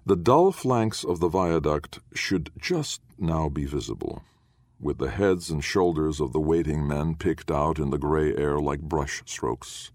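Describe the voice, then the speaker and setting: Guy reading a short story, super low voice, solemn and deliberate, dry close sound. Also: lively intonation, darkish tone, dry recording. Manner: measured, deliberate, solemn delivery. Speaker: audiobook narrator. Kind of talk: scripted literary fiction narration.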